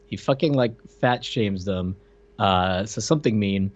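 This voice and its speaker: A man's voice: fairly low pitch, measured pace. A man co-hosting a podcast, chatting casually and jokingly about a film with an incredulous manner. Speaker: podcast co-host.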